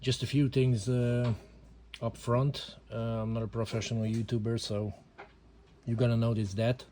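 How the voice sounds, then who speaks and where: A man's voice: medium pitch, little pitch movement, normal speed, warm tone, a little hiss or hum. A man from Hungary with a Hungarian accent, speaking English as a second language and hesitantly explaining a handgun in a casual home video, with many fillers. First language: not English. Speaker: amateur gun reviewer. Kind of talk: casual amateur video talk.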